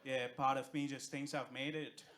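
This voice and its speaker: A man's voice: medium pitch, level tone, normal speed, noisy background. A young man from India with an Indian accent, doing a stand-up comedy set on stage with brash, profane punchlines. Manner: brash, profane punchlines over audience laughter. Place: comedy club stage before a live audience. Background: audience laughter.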